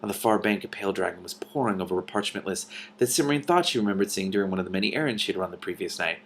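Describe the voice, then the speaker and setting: A man hosting a read-aloud of a novel, narrating expressively with animated, informal commentary. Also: sits low, racing, gravelly, slight background noise.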